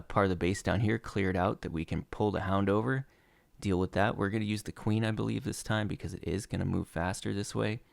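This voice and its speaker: A young man talking over his game replays in a relaxed play-by-play, losing his voice and filling gaps with "uh". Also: fairly low pitch, vocal fry, dry recording. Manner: relaxed play-by-play with frequent uh fillers. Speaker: gaming channel host. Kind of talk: commentating over gameplay replays.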